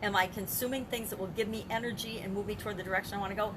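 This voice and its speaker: A woman's voice: mid-range voice, fairly even intonation, rapid-fire, background noise. An older woman and business coach talking through her day in a casual video journal, reflective, asking herself rhetorical questions and restarting her sentences.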